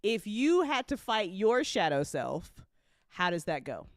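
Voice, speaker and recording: A woman hosts a relaxed group chat in a giggly, informal way. Her mid-range voice moves around a lot in pitch. The sound is dry, with no room to it. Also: a little slow, dry recording. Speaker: host putting questions to a cast.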